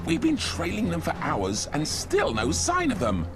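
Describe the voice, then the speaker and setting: An actor playing a sly, argumentative, whining soldier in a dramatic fantasy video game. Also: male voice, a little high, huge pitch swings, a little slow. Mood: complaining. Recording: music behind the voice.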